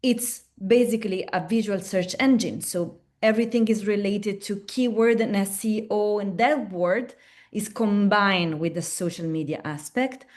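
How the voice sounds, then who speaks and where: A woman's voice: medium pitch, a little slow, a little mellow. A woman from Italy with an Italian accent, presenting in a webinar in a chatty way, with frequent restarts and tag questions. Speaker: platform representative presenting at a webinar.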